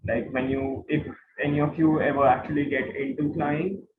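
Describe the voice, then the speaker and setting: A man's voice: a little high, level tone, normal speed, background noise. A man with an Indian accent giving an informal explanatory lecture to students, repeating phrases for emphasis. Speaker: instructor, guide. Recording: roomy, tinny phone-like online class audio.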